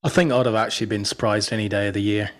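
Fast talking low voiced guy, wry teasing banter on a podcast. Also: fairly even intonation. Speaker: podcast co-host. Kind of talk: casual joking chat.

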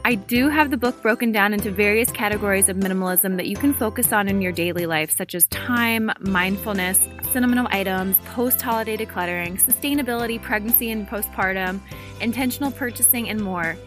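A woman's voice: mid-range voice, brisk, over music. A woman hosting a parenting podcast, reading her intro, then interviewing a guest over a video call. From Ohio, USA. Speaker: podcast host.

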